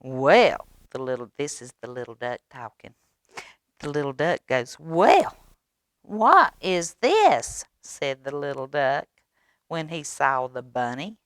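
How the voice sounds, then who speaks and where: A woman reading a children's story aloud expressively, with dramatic pauses and coaxing questions to young listeners. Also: very deep voice, fairly even intonation, clean tone, dry recording, clean studio sound. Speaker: library storytime reader.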